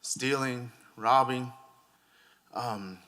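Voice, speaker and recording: A man in recovery answering a panel question in a candid, halting way, full of fillers. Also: medium pitch, steady tone, sharp tone. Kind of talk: panel answer at a public forum.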